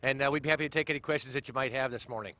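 A man's voice: mid-range voice, bright, forceful tone. A middle-aged man briefing the press outdoors as mission commander, friendly and full of "uh" fillers, on a handheld mic. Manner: friendly, fast, many "uh" fillers. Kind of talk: outdoor press conference remarks. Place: outdoors at a launch pad.

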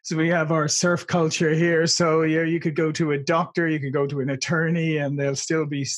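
A man's voice: on the high side, flat delivery, normal speed, no room sound. A middle-aged man with an Irish accent, hosting a casual video interview and laughing easily, on a video call from a room with a logo backdrop. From Ireland. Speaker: interview host.

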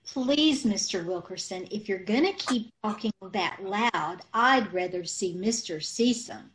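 A woman's voice: medium pitch, animated, a little slow, a bit bright. A woman acting a scatterbrained, guileless, literal-minded married woman in an old-time radio comedy. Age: middle-aged. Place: indoors, on a video call.

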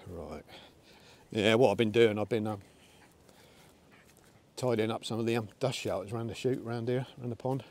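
Relaxed middle-aged man, slightly low voice, casual outdoor vlog talk. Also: moderate pace, long pauses. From England. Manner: chatty, relaxed, talks to his dogs. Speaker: air rifle shooting vlogger. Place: outdoors in a windy field by a pond. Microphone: camera on a tripod, then handheld.

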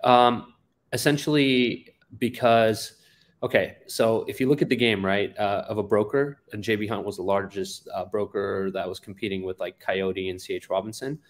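Low voice guy in his 40s on a webcam from an office, lots of ums, matter of fact. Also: flat delivery, moderate pace. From Afghanistan. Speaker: guest, company founder. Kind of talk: interview storytelling. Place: office indoors. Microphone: webcam on a video call.

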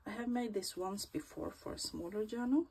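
A woman's voice: medium pitch, moderate pace, vocal fry, darkish tone, breathy edge. A woman vlogger with a stuffy cold, chatting casually through her crafting in a small home craft room and often murmuring half-whispered asides as she works.

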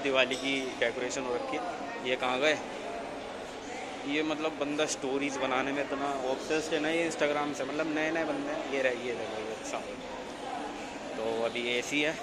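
A young man talks to his vlog audience in casual, slangy speech sprinkled with English. His voice sits at an average pitch. It is captured on a handheld phone with plenty of noise around him. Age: young adult. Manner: chatty, slangy, mixes in English words. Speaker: vlogger. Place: city pavement, mall and parking area. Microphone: phone at arm's length. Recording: noisy handheld recording, traffic and ambience.

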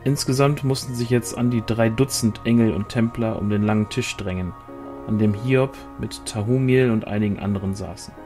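A man's voice: fairly low pitch, normal speed, dark tone, soft background music. A man reading a novel aloud as scripted narration. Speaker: audiobook reader.

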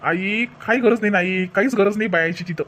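A man's voice: high voice, fairly quick, a bit bright. A man playing a bossy village husband and brother in a comedy cartoon, brusque and repetitive, with a Vidarbha Marathi accent. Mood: dismissive. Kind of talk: exaggerated cartoon family comedy.

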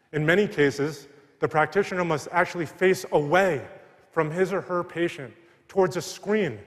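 A man in his thirties, a surgeon and founder, giving a measured, scripted keynote speech on a large arena stage with a headset mic. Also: a little high. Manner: measured, earnest delivery. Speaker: surgeon and medical tech founder. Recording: long hall reverb from arena.

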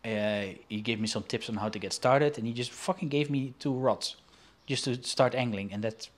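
A man from the Netherlands in his forties, chatting in an interview. He speaks haltingly, full of fillers and restarts, over a video call from a shelf-lined room. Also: medium pitch. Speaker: guest artist and angler. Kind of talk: casual interview. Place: indoor studio room with shelves.

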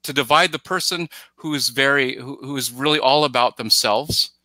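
A middle-aged man giving conversational commentary on a podcast, halting and repeating phrases, acting out imagined reactions as he goes. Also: mid-range voice, sharp tone, no room sound. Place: indoor room, video call.

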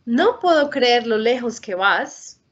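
A woman with a fairly high voice reads a picture book to young children. Her pitch moves in lively swings, and the sound is dull and lo-fi, as on a video call. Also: a little slow. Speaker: storybook reader. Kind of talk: children's picture book read-aloud in Spanish. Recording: muffled, lo-fi video call audio.

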